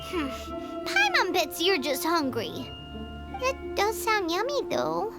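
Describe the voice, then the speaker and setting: A very high, wildly swooping little fairy chatters bluntly about herself in the third person. She is a cheeky game sidekick with a squeaky, sing-song delivery. Game music plays behind her. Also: very animated, slow, heavily acted. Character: tiny chirpy fairy companion girl. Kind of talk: video game voice acting.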